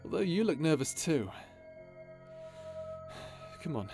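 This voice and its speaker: A man acts out a boyish, earnest pirate captain in an audio drama. He rambles informally and nervously at a slow, halting pace, with animated intonation over some music. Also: on the high side, exaggerated voice. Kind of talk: intimate ASMR audio roleplay drama.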